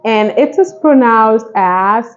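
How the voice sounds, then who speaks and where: A woman's voice: on the high side, slow, highs cut off, soft background music. A woman hosting a phonics lesson for young children, speaking in an encouraging, sing-song manner with simple repeated instructions. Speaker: phonics teacher and channel host.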